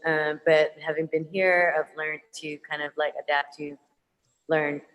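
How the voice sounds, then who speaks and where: A woman's voice: deep voice, little pitch movement, unhurried, fairly bright tone, big-room sound, dull audio. A woman whose first language is Tagalog, an artist answering an audience question on a panel, hesitant and full of ums and restarts. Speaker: muralist, visual artist panelist.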